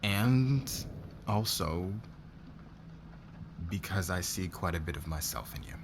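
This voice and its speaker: A man's voice: deep voice, animated, slow pace, background noise. A man playing a demanding, possessive boss in an ASMR roleplay, flirtatious yet guarded, with a commanding, intimate manner. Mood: guarded, earnest. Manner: commanding, smug, intimate, swearing.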